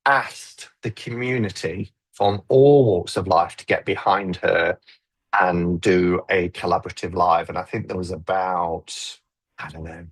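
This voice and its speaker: Low husky brit guy chatting on a live stream, thoughtful, lots of restarts. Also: fairly even intonation, a little slow. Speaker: tarot YouTuber. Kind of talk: casual conversation on a live stream.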